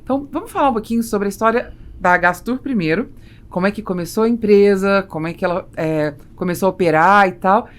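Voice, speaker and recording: A woman's voice: medium pitch, quick pace. A Brazilian woman hosting a podcast interview, chatting casually and interjecting short reactions. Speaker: podcast host interviewing guests.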